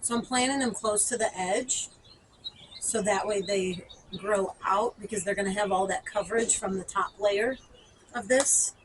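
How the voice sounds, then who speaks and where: A woman's voice: medium pitch, normal speed, slight background noise, rough recording. A woman talking informally to viewers as a gardening vlogger, thinking aloud through a hands-on demonstration.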